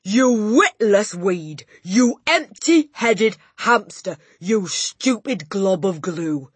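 A woman voicing a huge, tyrannical headmistress who loathes children, bellowing her insults with drawn-out emphasis in an audiobook. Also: low voice, expressive, fairly bright tone, husky, no echo, exaggerated voice. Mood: furious, bellowing. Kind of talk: audiobook character voice.

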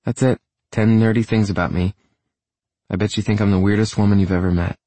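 An audiobook character performed by a man: a bubbly, oddball young woman who gushes and second-guesses herself. The pitch is lifted well up, with a husky grain and a very dry, close sound. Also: steady tone, fairly quick, dark tone, no room sound, natural voice. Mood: sheepish.

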